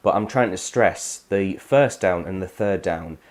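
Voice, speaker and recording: A man, hoarse from a cold, explaining guitar chords step by step in a casual tutorial. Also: low voice, measured pace. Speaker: guitar teacher.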